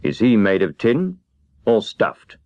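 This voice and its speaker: A man's voice: on the low side, huge pitch swings, slow pace, a little mellow, no room sound, dull audio, exaggerated voice. A man voicing a big cowardly lion in a children's storybook, sheepish and hangdog in manner. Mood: curious, sheepish. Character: big cowardly male lion, ashamed and curious. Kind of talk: children's storybook character reading.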